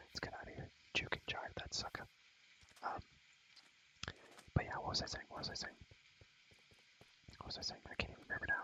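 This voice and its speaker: A man's voice: bright, forceful tone, low-quality recording. A man whispering breathily in a casual ASMR style as he shows a game, rambling and repeating phrases over and over. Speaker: ASMR content creator.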